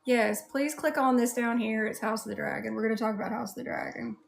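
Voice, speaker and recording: A woman's voice: fairly high pitch, steady tone, fast talker, vocal fry. A woman with a Southern US accent hosting a casual livestream, chatting and addressing viewers one by one. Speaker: livestream host.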